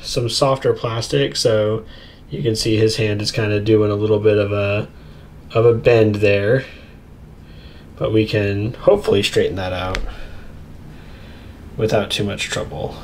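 A man's voice: lowish voice, fairly even intonation, unhurried, pauses often. A man hosting an unboxing video with casual, chatty commentary and easygoing asides to viewers. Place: indoors at a tabletop. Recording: clear background noise, crinkling packaging. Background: crinkling plastic bags, clicks.

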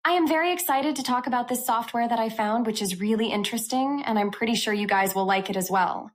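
Woman narrating a software review. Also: on the high side, fast talker. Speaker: tech review channel host.

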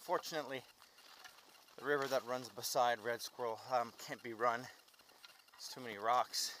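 A middle-aged man narrating a canoe trip vlog outdoors, talking in a relaxed, rambling way with many fillers, recorded on an action camera. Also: mid-range voice, normal speed. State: walking while carrying a pack. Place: outdoors on a windy lakeshore and forest trail. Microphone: action camera held or worn. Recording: wind noise on action camera.

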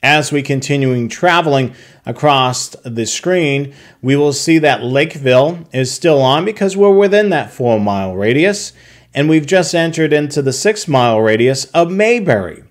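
A man's voice: on the high side, expressive, moderate pace. A man narrating a slide tutorial, explaining a technical process step by step with occasional fillers. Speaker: product tutorial presenter.

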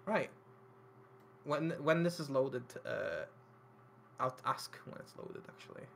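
A man's voice: mid-range voice, expressive, slow pace, vocal fry, fairly bright tone, a little hiss or hum. A man running a tabletop game as game master, talking informally and swearing casually.

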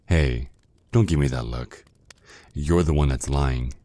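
ASMR roleplay casting: jealous male vampire ex, acted by a man, extremely low dark voice, slow seductive taunts. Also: no room sound. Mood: mocking, seductive. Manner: slow, teasing, drawn-out menacing murmur.

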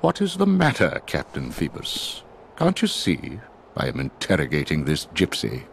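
An actor playing a stern, cold older judge in a video game, speaking with haughty, formal contempt. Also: male voice, very deep voice, wildly swooping pitch, moderate pace, gravelly, a little hiss or hum, exaggerated voice. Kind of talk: dramatic video game acting.

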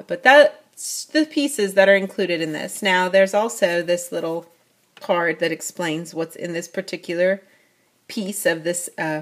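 A middle-aged woman casually narrating a toy unboxing at a tabletop, chatty and full of fillers. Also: fairly low pitch. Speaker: toy review vlogger. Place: at a tabletop, off camera.

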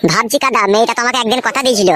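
A man's voice: very high-pitched, fairly even intonation, very fast, noisy background. A man voicing a brash, foul-mouthed young cartoon villager with a rural West Bengal accent, grumbling in crude comic banter. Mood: scheming banter.

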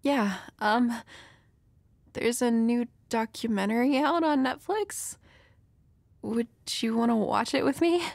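A woman's voice: on the high side, slow pace, creaky, long pauses, darkish tone, dry recording. A woman playing a shy, flustered young woman next door, nervous and hesitant, in an intimate romantic audio roleplay. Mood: bashful, fond. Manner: nervous, hesitant, stammering, giggly.

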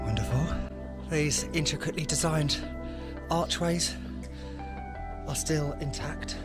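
Man, thirties, fairly high voice, excitable travel vlogger, arm's-length camera outside, music and traffic underneath. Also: takes it slowly. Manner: chatty, excitable, playful asides. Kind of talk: casual travel vlog, talking to camera. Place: outdoors on sunny town streets. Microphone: handheld camera at arm's length.

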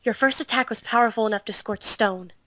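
A woman voicing a composed, quietly menacing young swordswoman, speaking in a hushed, measured way for an amateur visual novel dub. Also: fairly high pitch, no echo, close to an everyday voice. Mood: cool, probing. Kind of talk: visual novel fandub acting.

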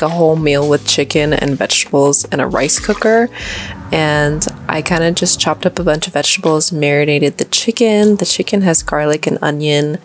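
A young woman in her twenties casually narrating her meal prep in a relaxed, chatty way. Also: deep voice. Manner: relaxed, chatty, trailing off with likes. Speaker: vlogger. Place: home kitchen.

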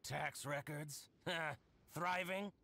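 A man playing an excitable, jealous middle-aged shop owner in a video game, with theatrical, drawn-out emphasis. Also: a little high, vocal fry, slight background noise, heavily acted. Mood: indignant, spiteful. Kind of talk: video game character acting. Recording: game music behind the voice.

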